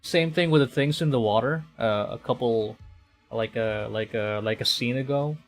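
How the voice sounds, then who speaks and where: A man livestreaming a visual novel, reading it aloud with excitable, dramatic delivery and chatty casual asides. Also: medium pitch, normal speed. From Philippines. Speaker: streamer. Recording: music behind the voice. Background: game music.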